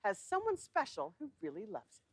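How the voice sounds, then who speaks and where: A woman's voice: medium pitch, huge pitch swings, normal speed, very noisy. An American woman co-anchoring TV news with casual on-air banter. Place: TV news studio.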